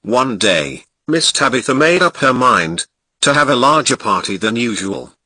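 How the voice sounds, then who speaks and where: A man's voice: mid-range voice, very animated, moderate pace, bright, forceful tone, no echo. A man with a Ghanaian accent reading a nursery fairy tale aloud, lingering expressively on descriptive words. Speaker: narrator. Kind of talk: storybook reading.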